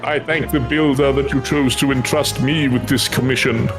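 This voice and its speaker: Man acting as fantasy game craftsman, mid-pitched male voice, formal archaic phrasing, devout, grateful, earnest, music behind. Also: fairly even intonation, moderate pace, lightly acted. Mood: admiring, eager. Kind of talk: voiced reading of fantasy game quest dialogue.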